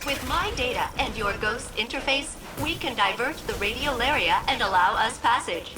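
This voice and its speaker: A woman acts out a ship's artificial intelligence from a video game. She is high-pitched and highly expressive, yet exact and formal in her phrasing. Music plays clearly behind her. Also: normal speed, close to an everyday voice, low-quality recording. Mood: precise, businesslike. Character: ship AI woman, precise, helpful, occasionally flustered. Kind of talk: scripted video game dialogue.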